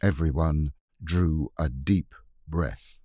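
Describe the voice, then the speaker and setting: A man narrating a children's classic audiobook in an expressive, measured storytelling manner. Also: rumbling low, no echo, studio quality. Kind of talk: scripted narration.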